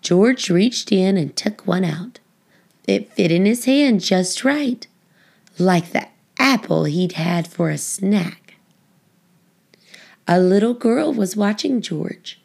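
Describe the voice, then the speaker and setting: A woman narrating a children's book, phrasing it expressively and deliberately for young listeners. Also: mid-range voice, lively intonation, gravelly, darkish tone. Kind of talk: scripted storybook narration.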